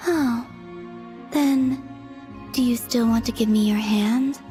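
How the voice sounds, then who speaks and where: A serene, detached young maiden from another world for a video game. The voice is fairly high, soft and breathy, with measured, almost deadpan phrasing. Long reverb and background music surround it. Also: female voice. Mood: gently deadpan. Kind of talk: dramatic video game voice acting.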